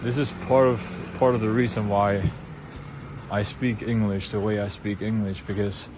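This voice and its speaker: Young Swiss man, Swiss German accent, slightly low voice, chatty vlogger, noisy car recording. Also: level tone, normal speed. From Switzerland. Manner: loose, unscripted, many fillers and restarts. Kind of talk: casual rambling monologue.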